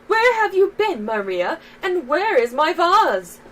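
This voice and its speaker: A woman's voice: extremely high pitch, big pitch swings, slow, pushed and bright, voice pushed up, heavily acted. A woman voicing a haughty, stern noblewoman who gives clipped, imperious commands in a game story. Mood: cold anger. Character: haughty, stern noblewoman scolding a servant girl.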